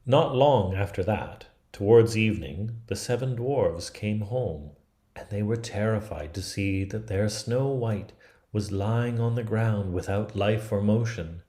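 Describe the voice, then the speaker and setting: Male fairy-tale narrator, fifties, slightly low pitch, unhurried deliberate phrasing, roomy sound. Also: mellow. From Canada. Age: 50s. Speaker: storybook reader.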